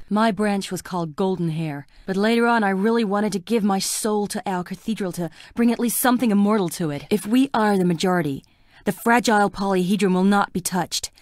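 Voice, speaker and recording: A woman's voice: medium pitch, a little mellow, no room sound, clean studio sound, close to an everyday voice. An actress playing a frail, melancholy young woman in a plague-stricken town, delivering dramatic video game lines in a wistful, languid, theatrical way. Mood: anguished, wistful.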